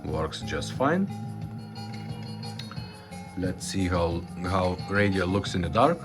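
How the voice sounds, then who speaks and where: A man presenting a casual product demonstration in a low-key, halting way. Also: big pitch swings, over music. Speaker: presenter demonstrating a retrofitted car radio.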